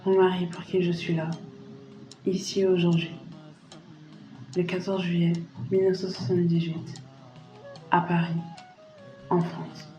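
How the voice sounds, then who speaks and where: A mellow woman's voice as a candid Indian girl recounting her life as drama narration. The sound is roomy, with faint music behind her. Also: lowish voice, flat delivery, a little slow, long pauses, highs cut off, lightly acted. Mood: lonely, waiting. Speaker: lead narrator. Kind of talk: dramatic first-person scripted narration.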